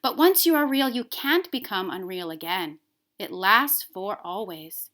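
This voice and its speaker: A woman voicing a wise, kindly, very old male toy horse in a storybook, measured and reassuring. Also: medium pitch, lively intonation, fairly bright tone. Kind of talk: storybook read-aloud character voice.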